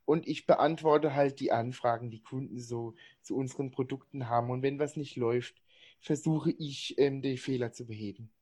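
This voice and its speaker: A man's voice: mid-range voice, steady tone, normal speed, darkish tone. A twenty-five-year-old man giving a plain self-introduction as an interview guest. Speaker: podcast guest, customer service employee.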